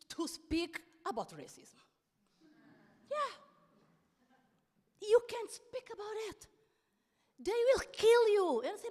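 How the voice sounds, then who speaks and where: A woman, a politician and activist, speaking slowly with frequent stuttering, pauses and restarts. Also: high voice. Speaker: politician and anti-racist activist. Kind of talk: panel talk. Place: large hall at a public event. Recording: long hall reverb.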